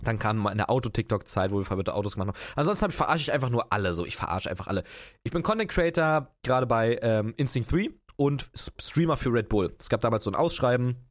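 Quick, playful twenty-year-old man. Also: mid-range voice, lively intonation, no room sound. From Potsdam, Germany. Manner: quick, playful, boastful, laughs often. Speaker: podcast co-host, content creator. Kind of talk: casual chat.

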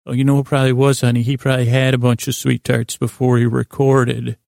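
American guy rambling on a sleep podcast, gravelly fry, super dry recording, keeps interrupting himself, kinda silly and self-deprecating. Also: medium pitch, flat delivery, a little mellow, no room sound. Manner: meandering, halting, frequent self-interruptions and tangents. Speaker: podcast host.

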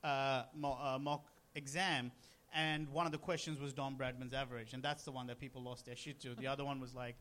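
A young man from Sri Lanka, co-hosting a comedic chat and breaking into loud joking outbursts. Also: medium pitch, level tone, brisk, few pauses. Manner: jokey, bursts into loud laughing exclamations. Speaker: co-host.

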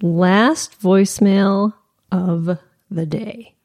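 A woman's voice: mid-range voice, extremely slow, darkish tone. A woman co-hosting a podcast in playful, giggly casual chat. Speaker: podcast co-host.

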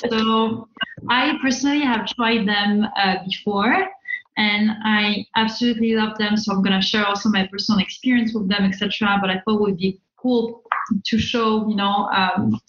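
A woman of average pitch hosts a casual interview and product review. Her manner is effusive and informal. The sound is echoey and slightly muffled. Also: steady tone, normal speed, a bit bright, slight background noise. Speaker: podcast host. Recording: roomy, slightly dull sound.

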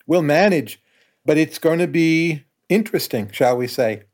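A man in his sixties, a professor and guest, giving measured, confident opinions in an interview. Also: a little high, animated, a little mellow. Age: 60s. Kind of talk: conversational interview.

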